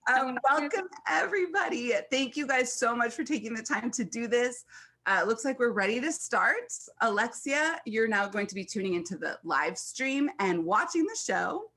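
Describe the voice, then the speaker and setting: A woman's voice: fairly high pitch, animated, normal speed, pushed and bright. An American woman hosting an online event as a filmmaking mentor, talking to guests and introducing them. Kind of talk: event hosting on a video call.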